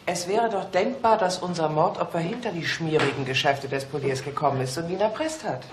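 A man's voice: fairly high pitch, expressive, a little fast, background noise, close to an everyday voice. A man acting in a German radio play as a shrewd, outspoken police colleague with a dry, matter-of-fact manner.

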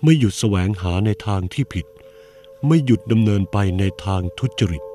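An actor voicing a calm, serene male spiritual teacher in an animated cartoon, speaking in a measured, solemn way. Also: lowish voice, natural voice.

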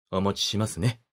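An actor playing a polite, gentlemanly young wedding photographer who speaks softly and teases the listener in an audio drama. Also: male voice, lowish voice, heavily acted. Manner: soft-spoken, polite, teasing.

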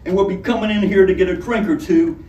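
A man voicing a swaggering, threatening highway robber with rough, folksy commands in a storybook reading. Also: fairly even intonation, quick pace, darkish tone, natural voice. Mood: menacing. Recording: roomy, distant microphone, some noise.

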